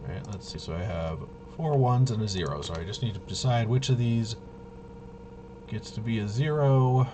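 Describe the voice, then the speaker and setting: A man hosting a tabletop game walkthrough, thinking aloud in a casual, halting way and muttering to himself. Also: fairly low pitch. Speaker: host of a tabletop RPG video. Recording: noisy room, clearly audible background noise.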